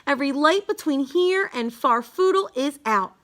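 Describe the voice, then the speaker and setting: A woman reading a rhyming children's bedtime story aloud, halting now and then with small stumbles over words. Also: high-pitched, animated, a bit bright. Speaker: storybook reader.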